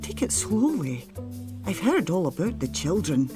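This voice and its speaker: A woman voicing a calm, kindly man in a storybook, soothing and puzzled, with music behind. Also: lowish voice, a little slow, pitched above the performer's own voice.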